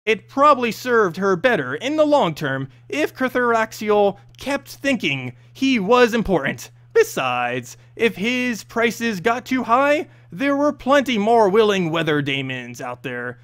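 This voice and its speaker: Guy reading a fantasy tale out loud, pretty high voice, really animated pitch, trips on words sometimes. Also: measured pace, hardly any pauses. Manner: occasionally stumbles over the written text. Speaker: narrator. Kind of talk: expressive scripted fantasy story narration.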